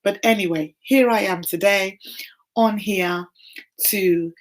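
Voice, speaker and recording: Hoarse fifties woman, warm encouraging livestream host, chatty. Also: mid-range voice, slow, low-quality recording. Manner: warm encouraging, greets viewers by name. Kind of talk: casual encouraging livestream chat.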